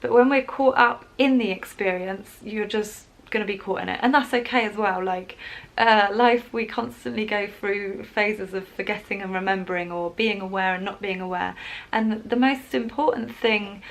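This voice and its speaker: A woman's voice: mid-range voice, gravelly, poor audio. A woman talking casually to camera in a rambling vlog, laughing often as she goes. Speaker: wellbeing vlogger. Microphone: camera in front, leaning closer at times.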